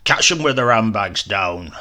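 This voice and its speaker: A man voicing a rowdy, hard-drinking ex-soldier in a storybook reading, with a booming, hoarse voice that roars and shouts. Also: animated, measured pace, full character voice. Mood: roaring. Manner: roaring, shouting.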